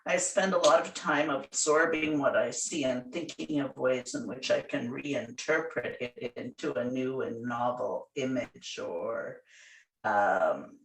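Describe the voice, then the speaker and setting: A woman from Hungary talks about her art in a slow, considered way. Her voice has a gravelly texture. She sits somewhat away from the microphone, and the room rings around her. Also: extremely low pitch. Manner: slow, thoughtful, measured. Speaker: artist guest. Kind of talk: radio interview answers. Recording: roomy, distant from the mic.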